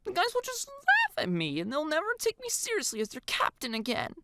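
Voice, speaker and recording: A woman acts out a panicked teenage boy turned girl in an audio story. The voice is high, whiny and breathy, tearful and pleading, with dramatic, petulant swings. It sounds close and dry. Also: wildly swooping pitch, a little fast, pushed and bright, no room sound, exaggerated voice. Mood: pleading, sulky.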